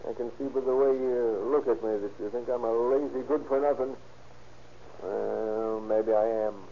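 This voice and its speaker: Actor as gravelly, bitter husband in radio drama. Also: male voice, medium pitch, steady tone, moderate pace, natural voice. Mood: irritable, resentful. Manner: querulous, accusing. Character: bitter, paranoid, volatile jobless husband. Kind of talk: 1930s radio drama acting. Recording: muffled, noisy old radio recording.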